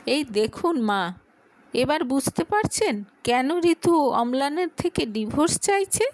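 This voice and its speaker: A woman narrator plays a scheming young sister-in-law in an audio story drama. She is bossy and impatient, with a high, animated voice that pushes and persuades. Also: dry recording. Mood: insinuating. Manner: brisk, irritated, persuasive.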